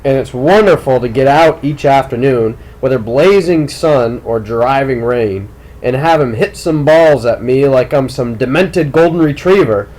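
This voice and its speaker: Audiobook role: man as a clever teenage jock, coolly insistent and manipulative, very unhurried delivery. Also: mid-range voice, animated, darkish tone, poor audio. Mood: bitter, sardonic. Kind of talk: audiobook character dialogue.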